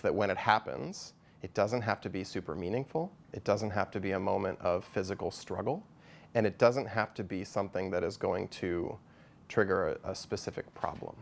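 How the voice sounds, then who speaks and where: Brief: male stuttering specialist, low and gravelly, calm and explanatory. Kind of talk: measured promotional interview.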